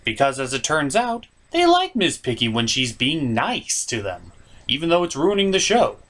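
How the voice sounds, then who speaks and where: A man's voice: on the high side, huge pitch swings, normal speed, a bit bright. A man hosting a comedic review, narrating a recap in a theatrical way with playful asides. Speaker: host of a puppet show review series.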